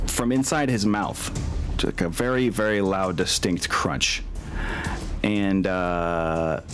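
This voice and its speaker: Male game master, lowish pitch, unhurried and deliberate narration over clear background music. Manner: deliberate, thinking aloud. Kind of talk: narrating a tabletop game session. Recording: music behind the voice.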